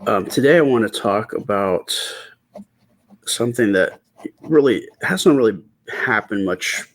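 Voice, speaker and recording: A middle-aged man talking softly and casually in ASMR style as he draws, with a quiet, hesitant, rambling delivery. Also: fairly low pitch, lively intonation, unhurried. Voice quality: quiet, soft-spoken voice. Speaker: artist and channel host. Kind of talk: casual ASMR storytime while drawing.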